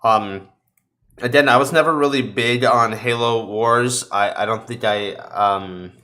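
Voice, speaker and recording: A man's voice: mid-range voice, unhurried, bright, forceful tone. A man hosting a podcast, chatting casually about video games in slangy, sweary bro talk. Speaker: podcast host.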